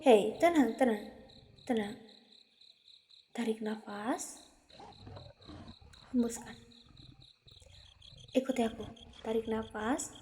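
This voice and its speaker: A woman with an Indonesian accent voicing an ancient, teasing and domineering female vampire in an anime-style ASMR roleplay, with taunting delivery. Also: on the high side. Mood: calming, soothing. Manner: slow, taunting, mixing Indonesian with bits of English and Japanese. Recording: steady insect and nature ambience noise.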